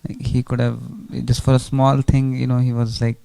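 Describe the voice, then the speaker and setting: A man offers a reflection in a group discussion. His voice is mellow, with plenty of fry, and stays nearly level in pitch throughout. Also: little pitch movement, moderate pace, rough recording.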